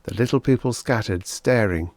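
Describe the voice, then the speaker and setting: A man's voice: on the low side, normal speed, a little mellow, dry recording. A man reading a scripted short story aloud as an audiobook narrator.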